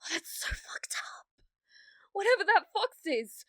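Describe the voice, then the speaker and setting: Woman acting a shrill, furious possessed fiancée. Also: sing-song, a little slow, a bit bright, no room sound, heavily acted. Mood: outraged, alarmed. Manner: abrasive, accusing, swearing. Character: furious, foul-mouthed young human woman, possessed fiancée. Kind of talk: ASMR roleplay acting.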